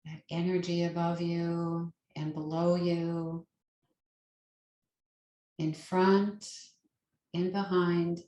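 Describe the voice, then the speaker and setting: A woman leading a guided meditation as a yoga nidra teacher, with a soothing, gentle and unhurried manner. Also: lowish voice, flat delivery. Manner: soothing, unhurried, gentle instruction with long pauses. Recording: roomy, echoing sound.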